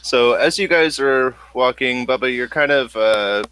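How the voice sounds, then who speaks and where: Halting man running a tabletop game. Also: medium pitch, pushed and bright, clean tone. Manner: halting, improvised narration. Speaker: game master. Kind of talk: narrating a tabletop role-playing game.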